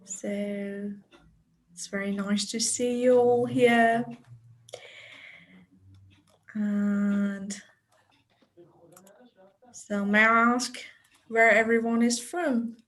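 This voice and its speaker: A woman leads an online teacher-training session, speaking slowly in a somewhat high voice. She chats easily, dropping in little laughs and fillers as she talks to participants over a video call. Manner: chatty, unhurried, with light laughs and fillers. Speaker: webinar presenter. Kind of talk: friendly online teacher talk.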